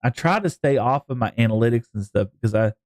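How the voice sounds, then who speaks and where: A Texan man talking to his chat on a live gaming stream, rambling from one thought to the next with easy jokes. His voice sits at a middle pitch, and the sound is close and dry with no room echo. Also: animated, fast talker, a little mellow. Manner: rambling, jokey, talks to chat.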